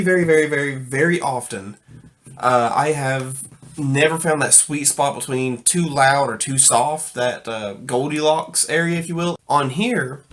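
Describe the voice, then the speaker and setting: A man's voice: medium pitch, a bit bright. Enthusiastic man reviewing audio gear. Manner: enthusiastic, emphatic. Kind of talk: informal video product review.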